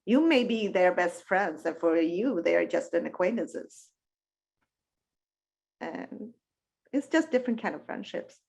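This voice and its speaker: A woman's voice: fairly low pitch, lively intonation, measured pace, creaky, pauses often, darkish tone, slightly rough. A woman speaking thoughtfully as a panel guest. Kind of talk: reflective conversation.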